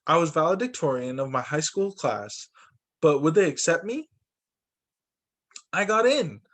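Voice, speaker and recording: A man with a fairly high voice reads a storybook aloud to children. His delivery is expressive and lively, with pitch that moves around a lot, in the manner of a teacher at story time. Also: unhurried. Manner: animated, acts out dialogue for children.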